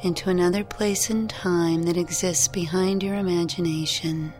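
A woman guiding a meditation in a gentle, soothing, unhurried way, leaving long pauses between phrases. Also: fairly low pitch, fairly even intonation. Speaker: meditation guide. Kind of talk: guided meditation narration. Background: music.

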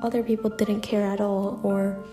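A woman's voice: mid-range voice, flat delivery, moderate pace. A young American woman hosting a personal solo podcast, speaking reflectively with frequent "like" and "um" fillers. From United States. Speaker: podcast host. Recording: echoey room with faint music.

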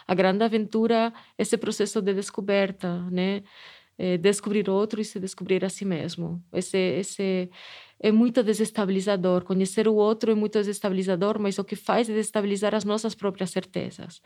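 A woman's voice: mid-range voice, little pitch movement, moderate pace, mellow. A middle-aged woman professor with a Spanish accent, speaking Portuguese as a second language, answering interview questions thoughtfully, with frequent restarts and tag questions. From Spain. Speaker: anthropology professor.

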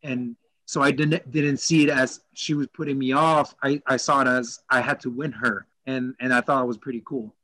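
A man who grew up in El Salvador, speaking as a podcast guest in an easy, thoughtful way. His voice sits mid-range for a man, and he pauses and restarts as he thinks. The mic is close and dry. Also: medium pitch, steady tone, pushed and bright, no echo, rough recording. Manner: thoughtful, hesitant with fillers and restarts. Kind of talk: casual chat.

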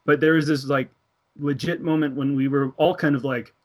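A man's voice: on the high side, steady tone, moderate pace. Earnest man telling a faith story. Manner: earnest storytelling, laughs between thoughts, acts out dialogue. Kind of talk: casual religious discussion.

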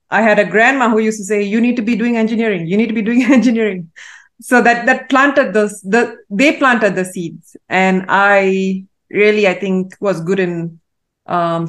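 A woman from Malaysia, a guest in an interview, answering in a thoughtful, measured way with frequent pauses and fillers. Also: medium pitch. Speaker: guest, AI consultant.

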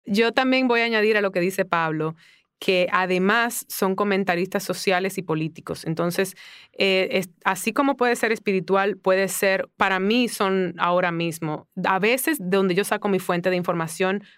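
A woman's voice: mid-range voice, moderate pace, clear, resonant tone, no room sound, clean studio sound. A woman co-host adds her thoughts and asks the guest a question in a casual chat. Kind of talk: casual podcast conversation.

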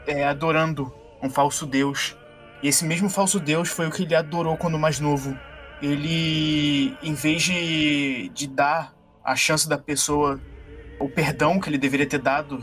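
A man with a slightly high voice narrates his character as a player in a tabletop game. The telling is improvised, dramatic and halting, over faint music. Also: fairly bright tone.

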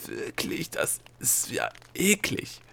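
A nervous storybook gnome, acted out by a male reader, with a gravelly edge. He stammers, hesitates and wheedles like a flustered adviser, often dropping into an urgent hiss. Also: mid-range voice, a little fast. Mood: hesitant, stammering. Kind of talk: audiobook character voice.

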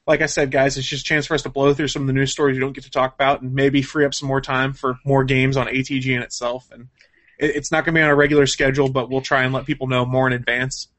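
A man's voice: medium pitch, little pitch movement, quick pace, a bit bright. A man hosting a podcast in an informal, joking, chatty way. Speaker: podcast host. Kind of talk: casual chat.

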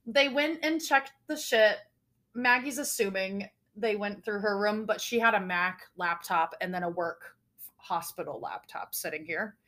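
A woman's voice: mid-range voice, unhurried. A young woman podcast co-host with a nasal voice, telling a story in casual chat, swearing often. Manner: animated, swears often, tells stories breathlessly.

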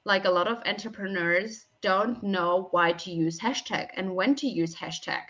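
A woman's voice: medium pitch, moderate pace, fairly bright tone, dull audio. A young woman gives conversational advice as a podcast guest, feeling her way through her phrasing. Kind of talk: conversational interview answers with practical advice.

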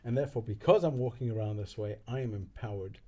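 A man's voice: on the low side, quick pace, mellow. A man from London talking conversationally as a guest, probing with questions and reflecting on what he hears. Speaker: podcast guest, rabbi. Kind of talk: conversational discussion.

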